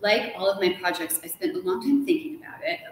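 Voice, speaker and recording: A woman's voice: mid-range voice, expressive, fast talker. A woman chatting as a guest author in a live interview, playful and effusive with emphatic repetition. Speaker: guest, author of an audio drama. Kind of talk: casual, festive live interview chat. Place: ornate hotel room, indoors. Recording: echoey room, some distance, background noise.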